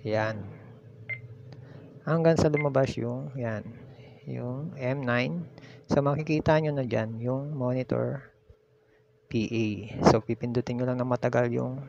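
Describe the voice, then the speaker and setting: A man's voice: mid-range voice, fairly even intonation, a little slow, vocal fry, long pauses, a little mellow. A Filipino man hosting a casual step-by-step demonstration, explaining in an instructional way and repeating key steps. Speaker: tutorial host. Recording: muffled lo-fi audio with background noise.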